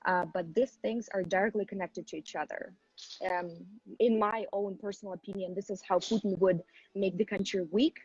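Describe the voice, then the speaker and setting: A woman's voice: mid-range voice, fairly even intonation, normal speed, a little mellow, husky, dry recording, highs cut off. A young woman from Ukraine, a native Ukrainian speaker, earnestly explaining things step by step as the guest of a live online interview. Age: young adult. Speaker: activist guest.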